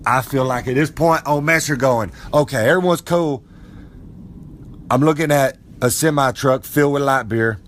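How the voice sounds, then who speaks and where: A man's voice: medium pitch, fairly bright tone, slight background noise, natural voice. A man voicing a boastful, folksy old male wrestler character with a drawl who rambles and preaches. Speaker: podcast character segment.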